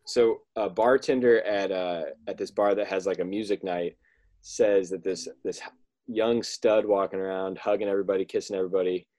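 A man's voice: deep voice, steady tone, normal speed, darkish tone. A young man hosting a casual podcast over a video call, rambling and joking as he recaps a movie. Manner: rambling, joking, laughs often. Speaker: podcast host.

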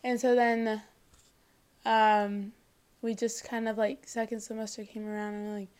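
A young woman from Mexico, a guest on a casual podcast, talking softly and hesitantly with many fillers and small laughs. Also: on the high side, level tone, a little slow. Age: 20s. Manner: soft-spoken, hesitant, giggly, many fillers. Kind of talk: casual chat.

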